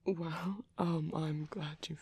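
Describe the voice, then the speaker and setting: A man acts out a gentle vampire priest for an ASMR roleplay. The voice is very breathy and hushed, with a creaky edge. He talks slowly and hesitantly, soothing and coaxing his partner with tender care. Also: fairly high pitch, steady tone, exaggerated voice. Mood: soft reassurance.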